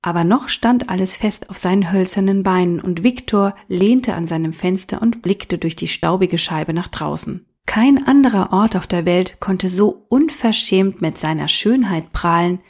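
Audiobook narrator: woman, low register, slightly breathy, calm and even storytelling. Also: normal speed, few pauses.

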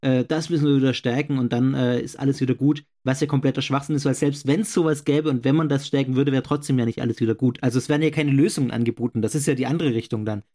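A man's voice: medium pitch, fairly even intonation, quick pace, few pauses, warm tone. Hesitant young man, regional German accent. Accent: regional German, not standard. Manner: agreeable, frequent affirming "ja", hesitant fillers. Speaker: podcast co-host. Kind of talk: casual debate chat.

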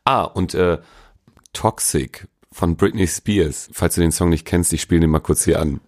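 Interviewee: man, notably low voice, casual colloquial delivery, faint music in the background. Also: measured pace, darkish tone. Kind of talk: casual chat, answering interview questions. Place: at home.

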